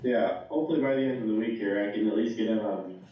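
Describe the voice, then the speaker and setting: A man with a slightly rough, under-the-weather voice, chatting casually over a video call. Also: fairly low pitch, fast, far from the mic. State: slightly rough voice from feeling unwell. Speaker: board member joining remotely. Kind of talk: casual chat. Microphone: video call played into a room. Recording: muffled video call heard through echoey room.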